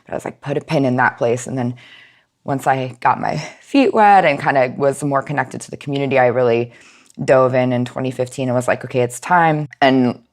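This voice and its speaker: A woman talking reflectively in an interview, halting now and then as she searches for words. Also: a bass voice. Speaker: nonprofit outreach manager, podcast guest. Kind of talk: reflective personal interview talk.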